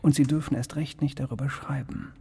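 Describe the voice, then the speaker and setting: Husky, pompous criminal mastermind, echoing hall. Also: male voice, medium pitch, steady tone, mellow. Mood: secretive, menacing. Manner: pompous, deliberate, precise. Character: cold, cultured criminal mastermind, menacingly calm and self-important. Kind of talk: radio drama acting.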